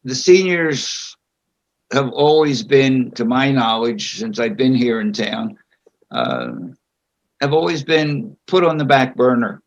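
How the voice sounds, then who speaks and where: Slow, halting older man on a lo-fi call. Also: mid-range voice, big pitch swings, gravelly. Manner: slow and halting, with frequent pauses. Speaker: board candidate being interviewed. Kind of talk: answering interview questions at a public meeting. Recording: dull lo-fi video call audio.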